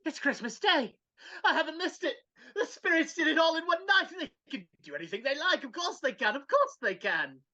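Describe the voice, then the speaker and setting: A woman's voice: sits high, sing-song, a little fast, pushed and bright, heavily acted. A woman playing an old reformed miser in an impassioned, theatrical live dramatic reading over a video call. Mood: overjoyed. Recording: dull video call audio.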